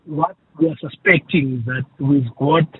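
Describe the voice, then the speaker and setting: A man's voice: a little high, moderate pace, a little hiss or hum. Man on a poor phone line. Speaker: political party spokesperson on transport. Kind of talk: live radio interview. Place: phone call.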